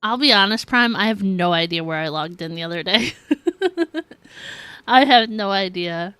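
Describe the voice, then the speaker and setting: A woman's voice: medium pitch, a little slow, pushed and bright, clear, resonant tone, no echo. A woman livestreaming a video game, chatting casually and informally with viewers in a giggly, easygoing way. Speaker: live streamer. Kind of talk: casual gaming livestream chat.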